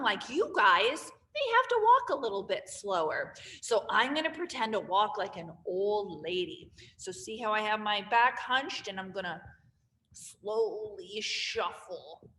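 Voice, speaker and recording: A woman theater teacher explaining clearly to young students and demonstrating as she talks. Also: medium pitch, expressive, a little slow, fairly bright tone, big-room sound.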